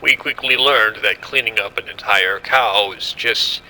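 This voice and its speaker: A man playing a confident scientist in an audio drama, explaining in a measured way. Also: on the low side, pushed and bright, rough recording. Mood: assured, explanatory. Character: confident male scientist running a secret military experiment.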